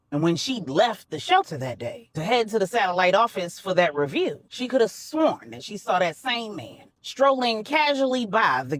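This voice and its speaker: A woman narrating a story for adults in a dramatic, deliberate way, with measured phrasing. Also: fairly high pitch, no room sound. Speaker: storyteller, host.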